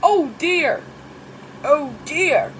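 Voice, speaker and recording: A kindly old grandpa pig acted by a woman in a children's storybook, patient and slow in his explanations. The pitch is high for an old gentleman, and clear noise sits behind it. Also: expressive, full character voice, low-quality recording. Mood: concerned.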